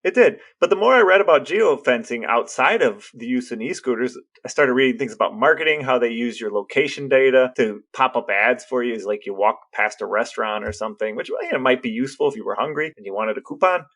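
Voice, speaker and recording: An American man co-hosting a podcast, explaining things casually in a measured, matter-of-fact way. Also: mid-range voice, animated, a bit bright. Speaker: podcast co-host.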